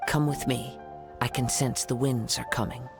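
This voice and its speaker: A man voice actor playing a calm, poetic young wandering swordsman in a video game, speaking in measured, formal phrases. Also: medium pitch, natural voice. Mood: composed, focused. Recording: loud music behind. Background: game music.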